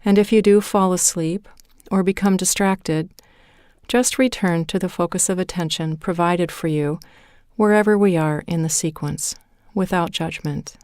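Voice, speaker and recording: A woman leading a guided meditation in a calm, measured way, with deliberate pauses between phrases. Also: fairly low pitch, clean tone, no room sound, studio quality. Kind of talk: guided meditation, scripted narration.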